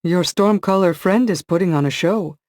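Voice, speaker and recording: A woman's voice: lowish voice, moderate pace, a little mellow, no echo, pitched above the performer's own voice, natural voice. A man voicing a dry-humored female fighter companion in an audiobook. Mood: wry. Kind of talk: audiobook dialogue.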